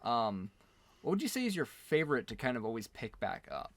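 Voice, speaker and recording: A man's voice: mid-range voice, very animated, brisk, no room sound. A man hosting a casual interview, asking questions in an informal, rambling way. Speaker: interview host.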